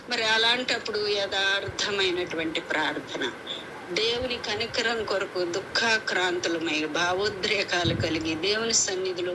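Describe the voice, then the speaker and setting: A woman preaches a devotional sermon, exhorting earnestly, reading scripture and repeating phrases for emphasis. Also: on the low side. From India. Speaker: preacher at a prayer meeting. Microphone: phone on a conference call. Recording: noisy conference call audio.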